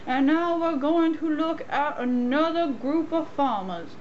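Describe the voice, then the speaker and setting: A woman's voice: high-pitched, unhurried, highs cut off, a little hiss or hum. A woman playing the presenter of a scripted amateur puppet show. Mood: presenting. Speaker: show host.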